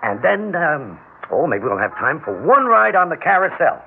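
A man playing a chatty, excitable father coaxing his baby son in an old radio drama. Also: a little high, moderate pace, gravelly. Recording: noisy, narrow-band vintage radio recording.

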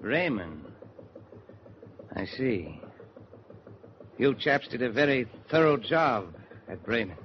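An actor playing a suave Nazi spy in a 1940s radio drama, formally courteous while coolly threatening. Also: male voice, mid-range voice, very animated, slow pace, pauses often. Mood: silky, probing. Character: suave, menacing male Nazi spy holding hostages. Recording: muffled, noisy 1949 radio broadcast.

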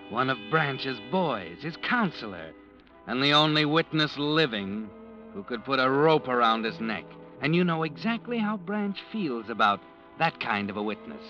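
An actor playing a knowing, taunting narrator in an old-time radio mystery, insinuating and ominous, on a dull vintage recording. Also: male voice, medium pitch, bright, forceful tone, lightly acted. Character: knowing, taunting male narrator addressing the guilty man.

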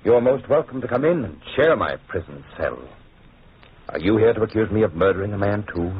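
A man's voice: lowish voice, lively intonation, a little fast, husky. A man playing an earnest, honourable French nobleman wronged and jailed, courteous, in old radio drama style. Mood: indignant, courteous. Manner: courteous, conscientious. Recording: muffled, tinny old radio recording.

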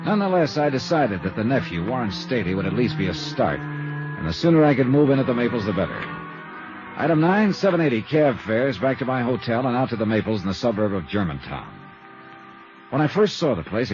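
A man's voice: medium pitch, very animated, brisk, natural voice. A man playing a wry, clipped American private detective in a 1950s radio drama, speaking colloquially. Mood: wry narration. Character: wry, brisk American male insurance detective. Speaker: private investigator and narrator. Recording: dull 1950s radio recording, music behind.

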